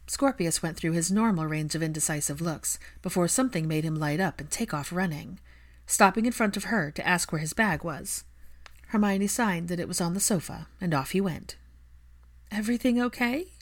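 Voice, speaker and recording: A woman's voice: lowish voice, normal speed. A woman reading fiction aloud as a scripted audiobook-style narration.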